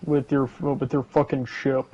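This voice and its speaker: A man with a medium-pitched voice comes through slightly dull voice chat audio. He talks casually while gaming. He is blunt and teasing, and he curses often. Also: flat delivery, a little slow, darkish tone. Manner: blunt, foul-mouthed, teasing. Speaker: gamer in a co-op session. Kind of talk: casual chat while playing an online game.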